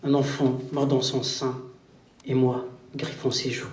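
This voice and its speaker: A man recites poetry in a solemn, declamatory style, with measured phrasing and pauses. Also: medium pitch, little pitch movement. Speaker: poet reciting. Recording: roomy, distant live recital recording. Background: plucked guitar music.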